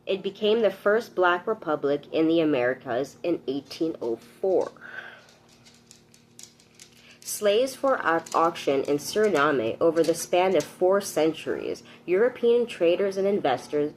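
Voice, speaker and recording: A woman's voice: deep voice, big pitch swings, measured pace, slight background noise, rough recording. A woman reading a book aloud and adding informal, earnest commentary as host. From Canada.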